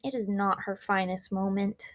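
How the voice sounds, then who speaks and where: A woman reading a children's picture book aloud, expressive and deliberate, putting emphasis on the action words. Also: medium pitch, dry recording. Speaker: read-aloud teacher.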